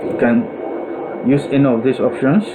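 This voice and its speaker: A man narrating a step-by-step software tutorial in a deliberate, instructional way, repeating and rephrasing himself as he goes. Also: mid-range voice, fairly even intonation, heavy background noise.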